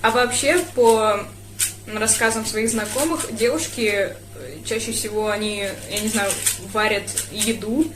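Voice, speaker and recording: A woman's voice: mid-range voice, a little slow, echoey room, background noise. A young woman from Russia answering viewer questions in a casual vlog, thoughtful and hesitant, with frequent fillers. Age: young adult. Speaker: speedcuber vlogger.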